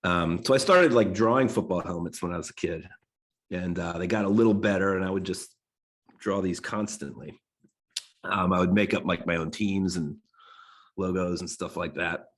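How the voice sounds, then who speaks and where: A deep, low-pitched American man in his forties talks casually to a class. He sounds nasal from a cold and clears his throat often. He rambles, restarts his sentences and leans on fillers. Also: normal speed. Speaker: guest designer giving a talk. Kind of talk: casual talk to a design class.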